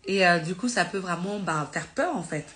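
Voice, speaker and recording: A woman with a somewhat low voice, a little congested from a cold, speaks to her live-stream viewers about faith. She talks in an earnest, encouraging, informal way and often corrects herself mid-sentence. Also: animated, moderate pace, a bit bright. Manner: conversational, with many fillers and self-corrections.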